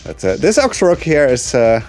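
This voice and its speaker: A man's voice: medium pitch, very animated, brisk, a little mellow. A young man chatting casually while speedrunning a game, full of fillers and small laughs. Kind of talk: casual commentary while gaming. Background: game music.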